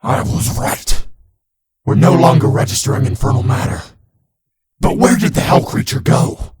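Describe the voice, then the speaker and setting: A man plays an alert demon underling in a comic dub, a mid-pitched subordinate voice with an otherworldly edge, heard through clear background noise. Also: lively intonation, moderate pace, a little mellow, lightly acted. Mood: urgent, puzzled. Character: alert, subordinate demon technician reporting to superior.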